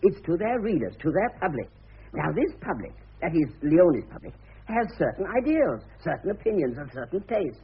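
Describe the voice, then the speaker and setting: A scheming press agent in a radio drama, heard through a tinny, narrow old recording. He is pushy and persuasive, with a high-set voice that swoops animatedly as he drives each point home. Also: big pitch swings, moderate pace, exaggerated voice. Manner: smooth-talking, emphatic, pushy. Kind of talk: radio play acting.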